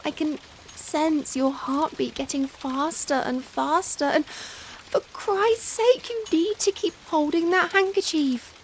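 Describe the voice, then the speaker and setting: A woman playing a young, genteel Victorian vampire in a soft-spoken ASMR roleplay, with formal old-fashioned phrasing and hesitant pauses. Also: high-pitched. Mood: agitated, pleading. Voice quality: soft-spoken, breathy in hushed moments. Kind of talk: ASMR roleplay, softly spoken dramatic monologue. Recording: faint rain-like background noise. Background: rain ambience.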